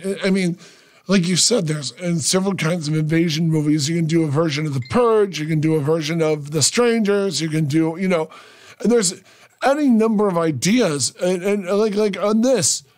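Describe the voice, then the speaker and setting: Guy hosting a talk radio show, talkative storyteller, slightly high voice, desk mic in a small studio. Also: moderate pace. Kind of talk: casual interview chat.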